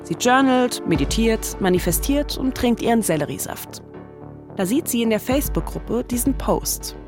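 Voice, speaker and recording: A woman's voice: fairly high pitch, animated, normal speed, music in the background. A woman in her thirties narrating a scripted documentary podcast as its host. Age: 30s.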